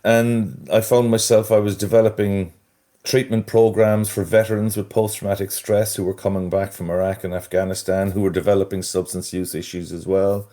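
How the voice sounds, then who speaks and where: Irish man, middle-aged, low mellow voice, thoughtful, rambling with restarts. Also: level tone, moderate pace. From Ireland. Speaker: guest, mental health strategist. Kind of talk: conversational interview.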